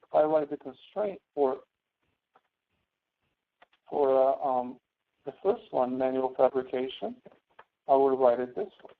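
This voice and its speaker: Phone-line male instructor with creaky voice. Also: medium pitch, unhurried, pauses often. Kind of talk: tutorial walkthrough over screen share. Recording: tinny phone-like online meeting audio.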